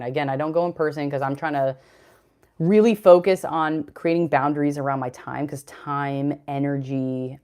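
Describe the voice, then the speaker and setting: Deep-voiced young woman, casual fitness streamer, loose and talkative. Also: darkish tone. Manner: chatty, rambling, reads chat comments aloud. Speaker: fitness livestream host. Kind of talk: casual livestream chat.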